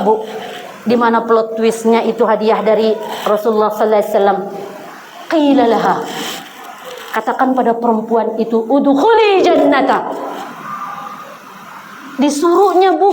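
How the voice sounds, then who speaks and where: A woman in her forties preaching to a women's congregation in a conversational way, often addressing her listeners directly. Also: high-pitched. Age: 40s. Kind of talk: sermon to a women's congregation, with recited Arabic quotations. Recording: echoey room, faint background noise.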